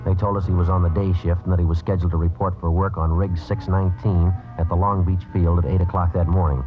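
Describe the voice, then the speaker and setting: An actor playing a terse, dogged police detective in a deadpan, clipped manner in a vintage radio crime drama. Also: male voice, low voice, fast, lightly acted. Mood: matter-of-fact. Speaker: lead actor and narrator. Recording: tinny vintage radio, music behind.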